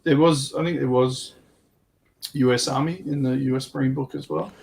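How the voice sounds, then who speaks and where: A man on a livestream panel, telling stories casually and repeating himself in a halting way as he explains. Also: medium pitch, steady tone, vocal fry, darkish tone. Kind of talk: casual chat, informal storytelling.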